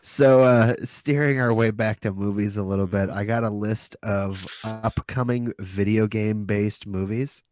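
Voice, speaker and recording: A man's voice: lowish voice, steady tone, a little slow, hardly any pauses, darkish tone. A man hosting a podcast, chatting casually with a group. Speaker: podcast host.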